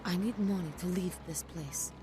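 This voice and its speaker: A woman's voice: fairly low pitch, moderate pace, noisy background, lightly acted. A woman playing a cryptic fortune teller in a video game, speaking in portentous riddles. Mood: ominous. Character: cryptic, ominous female fortune teller who sees the future. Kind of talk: video game dialogue acting.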